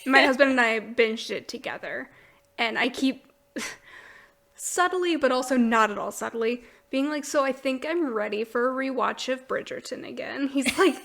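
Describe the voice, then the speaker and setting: A woman's voice: high voice, moderate pace, vocal fry, fairly bright tone. A woman hosting a podcast, chatting casually in a gushing, enthusiastic way. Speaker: podcast host. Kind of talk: casual chat about books and shows.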